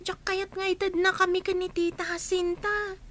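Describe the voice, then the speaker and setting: Brief: a giggly radio-play granddaughter, very high-pitched. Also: female voice, flat delivery, normal speed, fairly bright tone, dry recording. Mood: earnest. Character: young granddaughter, giggly and earnest. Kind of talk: radio drama acting.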